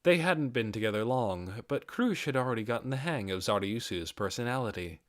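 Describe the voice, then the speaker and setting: A man reading a fantasy audiobook as narrator, in a measured and expressive dramatic storytelling style. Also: mid-range voice, no room sound, clean studio sound.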